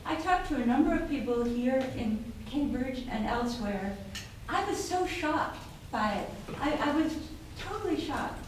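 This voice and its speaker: An American woman speaks from the floor of a seminar room, earnest and emphatic in her question. Her voice sits slightly high. She is far from the mic, the room is very echoey, and a clear noise runs under her. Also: expressive, a little slow. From United States. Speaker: audience member. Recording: distant, very echoey, noisy room.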